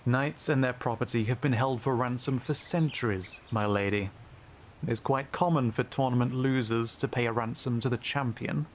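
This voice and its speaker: A man's voice: mid-range voice, normal speed, slight background noise, natural voice. A man playing a smug, scheming, haughty knight in a fantasy audio roleplay, with a pompous, mocking drawl. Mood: smug menace.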